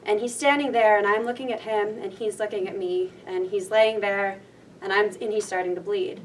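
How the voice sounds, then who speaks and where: A woman's voice: mid-range voice, steady tone, moderate pace. A young woman from Rhode Island telling an earnest personal story on stage. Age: young adult. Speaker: student storyteller. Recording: distant mic with faint noise.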